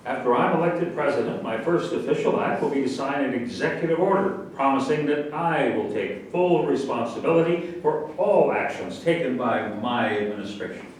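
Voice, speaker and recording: An actor playing an earnest, challenging veteran running for president in a satirical staged reading. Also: male voice, mid-range voice, animated, normal speed, few pauses, close to an everyday voice. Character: challenging male presidential candidate, proud combat veteran. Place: live staged reading in an echoey room. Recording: echoey room, distant mic, faint noise.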